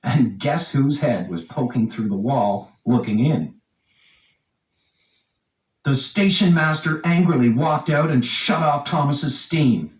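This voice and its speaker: A man reading a children's storybook aloud with expressive, dramatic emphasis. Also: mid-range voice, takes it slowly. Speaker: storybook narrator. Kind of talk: animated read-aloud children's storybook narration. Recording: roomy, echoing, distant from mic.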